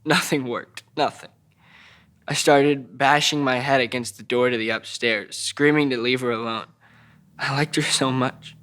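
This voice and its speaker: Man acting a troubled, halting, childlike young man. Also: medium pitch, steady tone, a bit bright, lightly acted. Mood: desperate, haunted. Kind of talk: audio drama table read.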